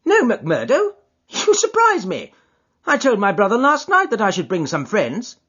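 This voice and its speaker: A high-strung, fussy gentleman, as a male reader puts him on in an audiobook, his voice leaping high and swooping all over with a husky edge. He pleads and frets over every word. The recording sounds dull and lo-fi. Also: wildly swooping pitch, unhurried, exaggerated voice. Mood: fretful. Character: fussy, nervous, fretful middle-aged gentleman. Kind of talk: audiobook character voice.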